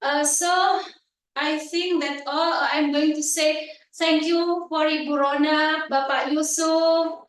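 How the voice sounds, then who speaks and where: A woman's voice: high-pitched, fairly even intonation, slow pace. A woman wraps up a club meeting. She thanks guests one by one in a loose, chatty way. She is caught from across a very echoey room. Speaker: meeting host. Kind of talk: closing remarks at a club meeting. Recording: echoey room, far from the mic.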